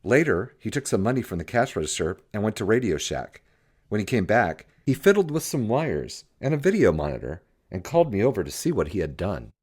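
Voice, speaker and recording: A man reading an audiobook as narrator, telling a story in an animated, scripted style with acted dialogue. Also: lowish voice, fairly quick, slightly rough.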